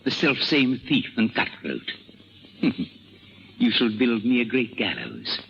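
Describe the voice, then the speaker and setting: A man's voice: mid-range voice, wildly swooping pitch, slow, vocal fry, heavily acted. An actor playing a scheming, imperious king of France with a sardonic, taunting manner in a 1930s radio drama. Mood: sly, taunting. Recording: muffled, noisy 1930s radio broadcast.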